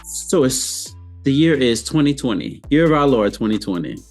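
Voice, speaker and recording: A man's voice: medium pitch, expressive, normal speed, darkish tone, soft background music. A man hosting a podcast, asking engaged, open interview questions. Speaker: podcast host.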